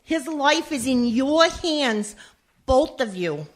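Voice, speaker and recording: A woman's voice: high-pitched, expressive, slow pace. Woman playing an earnest, swooping, melodramatic mom. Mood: grave, melodramatic. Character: earnest, melodramatic, devoted jazz family mother. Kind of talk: comic radio play acting.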